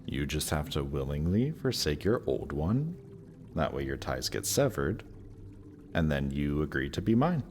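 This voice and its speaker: A man's voice: extremely low pitch, sing-song, a little slow, a little hiss or hum, full character voice. A man playing a death god's smug, lovestruck young son in a romantic audio roleplay, talking casually and teasingly to the listener. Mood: persuasive, casual.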